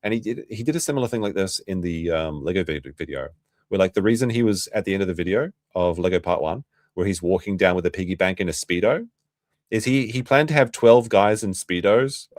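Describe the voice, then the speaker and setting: A man's voice: low-pitched, lively intonation, brisk, no echo, studio quality. A man hosting a late-night livestream, rambling in an offhand way and restarting his sentences. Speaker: streamer host.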